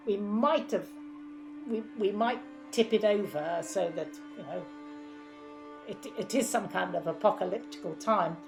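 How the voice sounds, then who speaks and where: A woman's voice: medium pitch, measured pace, creaky, over music. Older woman, forthright and emphatic. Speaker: guest. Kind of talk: interview answers.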